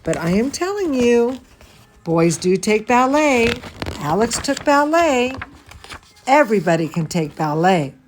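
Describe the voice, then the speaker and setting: A woman's voice: a little high, sing-song, measured pace, slight background noise. A woman reading a children's story aloud as a teacher would, expressively, with chatty asides and questions to her young listeners. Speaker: dance studio teacher reading a bedtime story to students.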